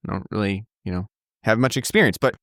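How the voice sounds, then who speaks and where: A man with a fairly low voice hosts a relaxed podcast, wandering through his thoughts with plenty of ums and false starts. The sound is close and dry, with no room around it. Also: fast, dry recording. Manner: rambling, many fillers and restarts. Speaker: podcast host. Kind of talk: casual podcast chat.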